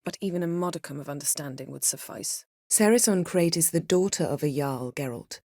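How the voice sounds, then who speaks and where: A woman voicing a proud, sharp-tongued sorceress in a video game, speaking in formal, crisp, imperious phrases with composure and wry wit. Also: low voice, brisk, no echo, clean studio sound. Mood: quiet, subdued. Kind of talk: video game character dialogue.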